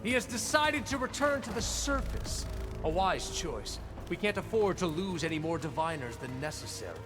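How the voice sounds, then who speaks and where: An actor playing a formal, commanding official in a theatrical video game scene. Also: male voice, sits high, lively intonation, moderate pace. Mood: resolute, cool. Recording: voice under clearly audible music.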